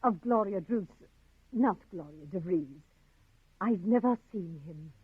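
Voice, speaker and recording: An actress playing a fluttery, wistful older former stage actress in a theatrical 1950s radio drama. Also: female voice, fairly low pitch, animated, a little slow, breathy edge, no echo, full character voice. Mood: wistful delight. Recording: muffled, narrow-band 1950s radio recording.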